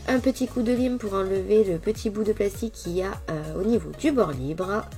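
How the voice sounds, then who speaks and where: A French woman with a stuffy head cold, chatting lively and directly to viewers while showing a nail tutorial. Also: mid-range voice, fairly quick, soft background music. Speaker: nail technician. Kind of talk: casual tutorial vlog.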